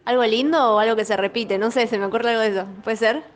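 A woman's voice: fairly high pitch, steady tone, quick pace. A woman answering casually as a vox pop interviewee. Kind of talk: casual chat. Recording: crowd noise around the voice.

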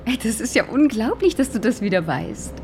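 An actress in a radio drama playing an anxious, caring older sister with a guilty streak, speaking naturalistically and intimately. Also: female voice, on the high side, very animated, normal speed, noisy background. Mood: gentle, wistful. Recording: music behind the voice. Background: music.

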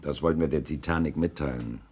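A man's voice: rumbling low, fast talker, a little mellow, a little hiss or hum. An actor playing a stiff, middle-aged male ship captain, giving clipped, formal and defensive answers at a hearing in a radio drama. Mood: guarded. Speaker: witness. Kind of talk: 1980s radio drama acting.

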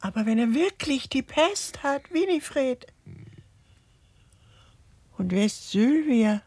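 A woman's voice: high-pitched, animated, slow pace, a little mellow, heavily acted. An actress playing a fussy, chattering, anxious woman in a German radio drama, with theatrical delivery. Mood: fussy, puzzled.

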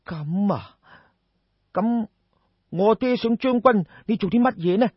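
A man's voice: sits high, expressive, slow, husky, no echo, highs cut off, voice pushed up, heavily acted. A man voicing a naive, polite young nobleman in Cantonese, anxious and full of startled questions. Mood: puzzled.

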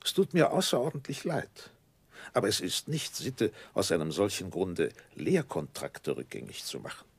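A very deep, husky man's voice with big swoops of pitch, a pompous gentleman lawyer of radio drama. He is formal and unyielding, all repeated, hollow regret. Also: huge pitch swings, moderate pace, no echo, exaggerated voice. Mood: coolly dismissive. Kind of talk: 1960s German radio play acting.

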